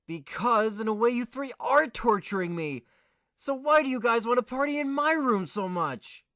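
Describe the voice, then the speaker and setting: A man's voice: extremely high pitch, expressive, moderate pace, no room sound, clean studio sound, heavily acted. A man playing an earnest, put-upon young student in an amateur audio play, given to exasperation and sarcasm. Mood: exasperated. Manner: chatty first-person narration turning to whiny protest. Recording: loud hiss and rain over voice. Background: rainstorm sound effects.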